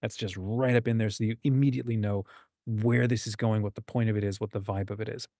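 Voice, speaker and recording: A man's voice: fairly low pitch, steady tone, fast, mellow, no echo, studio quality. A man hosting an online writers' group, explaining things informally and conversationally while leaning on his key points. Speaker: host and anthology editor of a writing community. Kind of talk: informal explanatory talk to an online group, screen-share walkthrough.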